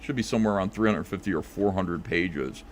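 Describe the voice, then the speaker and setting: Easygoing low-voiced man taking calls. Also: fairly quick, slight background noise. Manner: relaxed, thinks aloud with many fillers. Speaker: comic creator answering callers. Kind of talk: casual live call-in chat.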